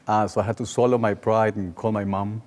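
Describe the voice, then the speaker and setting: A man in his forties speaks English with a Peruvian Spanish accent. His voice is on the low side, and he talks conversationally, telling personal stories as a well-being coach being interviewed. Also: flat delivery, brisk, darkish tone. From Peru. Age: 40s.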